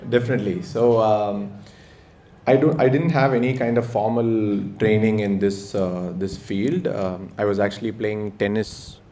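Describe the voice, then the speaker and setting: A man's voice: lowish voice, fairly even intonation, a little slow, warm tone, a little hiss or hum. A young man introducing himself on a panel, talking with many hesitant fillers. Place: panel event in a hall. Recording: echoey hall, faint ambience.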